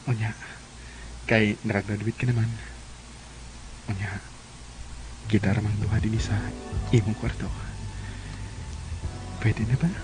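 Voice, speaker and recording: A man's voice: on the low side, level tone, slow pace, long pauses, music in the background, poor audio. A man with a Filipino accent acting a devoted, playful young boyfriend in a radio drama. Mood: tender, playful.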